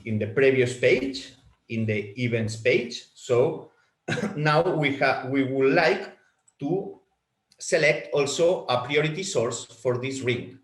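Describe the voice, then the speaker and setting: Slow, halting man in an echoey room. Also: medium pitch. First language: Spanish. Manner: halting, repeats words while clicking through settings. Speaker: product trainer. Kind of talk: software walkthrough webinar.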